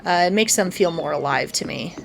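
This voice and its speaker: A woman's voice: fairly low pitch, expressive, fairly quick, gravelly, noisy background. A woman hosting a casual let's play, explaining her plans step by step as she goes. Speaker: gaming video host. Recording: music playing behind. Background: game music.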